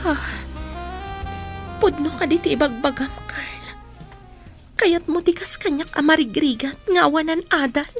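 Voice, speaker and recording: A woman's voice: high-pitched, unhurried, pauses often, soft background music. A woman with an Ilocano accent playing a poor, unschooled, tender young elder sister in a radio drama. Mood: surprised, moved.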